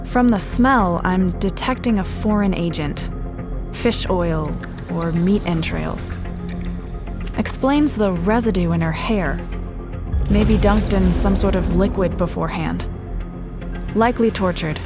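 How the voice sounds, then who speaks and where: An actress playing a sharp, composed special agent in an audio drama, speaking in terse, clinical fragments like a profiler examining a scene. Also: female voice, fairly low pitch, measured pace. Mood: clinical, focused. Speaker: investigator. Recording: music behind the dialogue.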